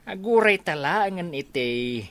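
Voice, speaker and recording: An actor playing an excitable old village father and eager grandfather-to-be, with an Ilocano Filipino accent in radio drama style. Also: mid-range voice, a little slow, dry recording. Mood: eager, surprised. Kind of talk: radio comedy-drama acting.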